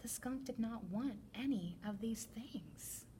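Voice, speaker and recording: A woman reading a children's picture book aloud as a teacher librarian, with deliberate, expressive storytelling. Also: a little high, a little hiss or hum.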